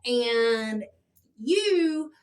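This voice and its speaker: A woman doing an indignant young woman who confronts her rival. The voice jumps high and turns sharp and accusing, and it sounds a bit farther from the mic. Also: animated, very slow, distant mic. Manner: higher-pitched and accusing.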